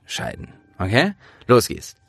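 Low-voiced man hosting a casual interview. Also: huge pitch swings, a little slow, gravelly, breathy edge, no echo, faint music behind. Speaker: interviewer and host; trained voice actor.

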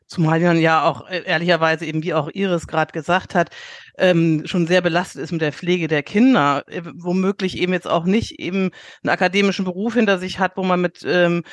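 A woman hosting a panel discussion, asking questions in a halting way with frequent restarts. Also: fairly low pitch, dry recording, clean studio sound. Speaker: host.

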